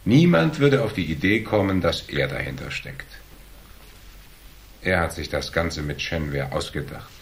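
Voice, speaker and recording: Deep-voiced, shrewd hardboiled investigator. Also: male voice, animated, measured pace, vocal fry, slight background noise, heavily acted. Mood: needling, explanatory. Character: cool, shrewd hardboiled male investigator, calmly laying out deductions. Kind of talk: German crime radio drama acting.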